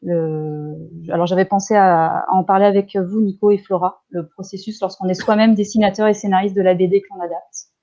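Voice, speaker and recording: A woman's voice: medium pitch, steady tone, fairly quick, few pauses, warm tone. A woman moderating a panel discussion in an informal, conversational way. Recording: slightly muffled, dull sound.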